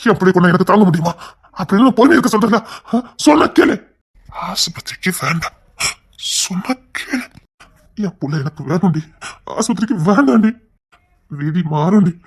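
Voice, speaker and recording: A man plays a controlling husband in a heated melodrama, with a husky voice and rapid delivery. He insists over and over, coaxing in hushed tones and scolding with agitation, an anxious father-to-be who will not be argued with. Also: high-pitched, animated, exaggerated voice. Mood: agitated pleading. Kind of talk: emotional melodrama dialogue.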